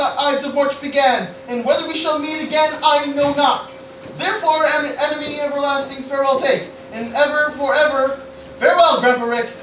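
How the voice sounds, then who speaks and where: A man's voice: very high voice, sharp tone. A man playing an earnest, noble teenage grandson in an amateur Shakespeare parody radio play. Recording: roomy, slightly distant, faintly noisy.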